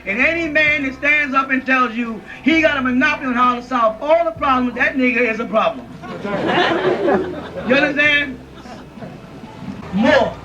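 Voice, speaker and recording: A man's voice: very high voice, expressive, measured pace, pauses often, a crowd in the background, background noise. A man giving an emphatic, informal lecture to a live audience in a room, often checking in with his listeners. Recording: dull lo-fi audio from a distant mic.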